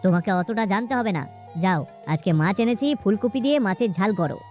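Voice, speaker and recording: A woman's voice: medium pitch, expressive, fast, darkish tone, faint music behind, close to an everyday voice. A woman voicing a poor, scheming village husband in a cartoon story, with colloquial, exclaiming, grumbling speech. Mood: bossy, irritated.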